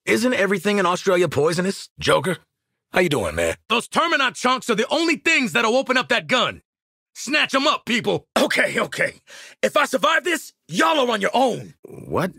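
A wisecracking American gun-for-hire in a video game. His husky voice has a gravelly edge and swings widely in pitch. The delivery is punchy, streetwise and sardonic. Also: big pitch swings, normal speed, pushed and bright, no room sound, studio quality, exaggerated voice. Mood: exasperated, commanding. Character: cocky, wisecracking adult male sniper mercenary. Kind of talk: video game combat voice lines.

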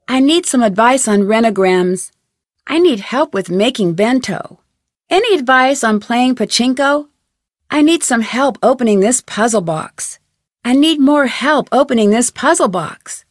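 An actress playing a curious, level-headed young female detective in an adventure game, speaking in an earnest, composed, clearly enunciated way. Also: fairly high pitch, no room sound, clean studio sound. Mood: friendly, matter-of-fact. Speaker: voice actor, game protagonist. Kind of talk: scripted adventure game dialogue.

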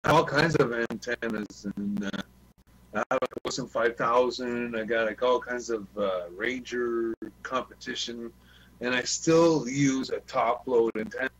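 A man's voice: medium pitch, measured pace, a bit bright, breathy edge. A man chatting casually as a CB radio hobbyist, telling stories in a repetitive, sweary way on an online group call. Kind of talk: casual chat. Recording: noisy online voice chat with hum.

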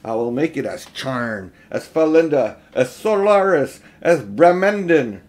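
A man reading a storybook as an imperious, triumphant evil witch queen, loud, haughty and declaiming. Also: a little high, lively intonation, a little slow. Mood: scornful, triumphant.

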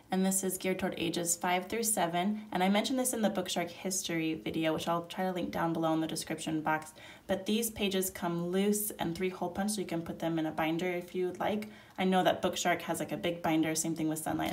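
Woman with a stuffy nose from a cold, lowish voice, chatty and easygoing, light hiss in the background. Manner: relaxed, chatty, explaining step by step. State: sick, nasal. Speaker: homeschool curriculum reviewer. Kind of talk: casual product flip-through. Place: indoors at a table, hands-only overhead camera.

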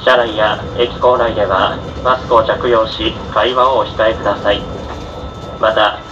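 Dull-sounding male train conductor over loud rumble. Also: fairly even intonation, a little slow. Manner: polite, formulaic delivery. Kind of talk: formal train announcement. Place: train PA heard inside a moving carriage. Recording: dull onboard speakers with loud train noise.

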